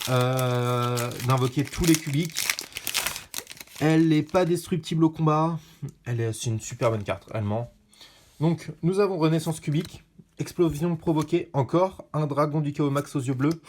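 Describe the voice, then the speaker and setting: A man giving casual commentary while opening card packs, chatty and bursting into excited shouts at rare pulls. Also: fairly high pitch, slight background noise.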